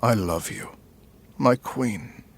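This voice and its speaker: A courtly middle-aged prince with a husky voice at an ordinary male pitch. He is formal and a little pompous, devoted in love and ambitious underneath, phrasing his audio drama lines with measured care. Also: no echo. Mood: adoring.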